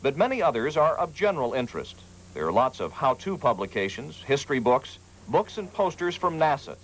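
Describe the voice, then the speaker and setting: American TV reporter with lively, swooping delivery. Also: male voice, medium pitch, fairly quick, rough recording. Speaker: TV news reporter. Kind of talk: scripted feature narration. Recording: faint background noise, 1980s TV broadcast.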